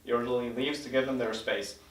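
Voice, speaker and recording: A man's voice: mid-range voice, little pitch movement, a little fast. Male narrator in an echoey room. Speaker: narrator reading stage directions. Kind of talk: scripted narration at a table read. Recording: roomy recording, mic at a distance.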